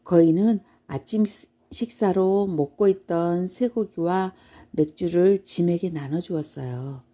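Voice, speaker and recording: Female storybook narrator for bedtime listening; soft dark timbre, slightly low, measured delivery.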